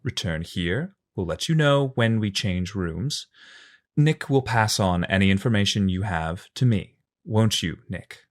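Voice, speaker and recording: A composed male vampire boss as a man acts him talks in a lowish voice. He is measured, authoritative and businesslike, in the manner of an audio drama. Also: lively intonation. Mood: cool, commanding.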